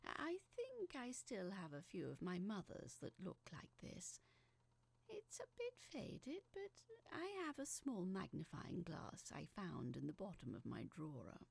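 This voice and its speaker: A woman playing a pensive narrator in an audio drama, talking softly and hesitantly to herself as she records. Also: on the low side, wildly swooping pitch, a little slow, creaky, slightly rough, heavily acted. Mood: hushed, pensive. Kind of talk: audio drama monologue, reading aloud.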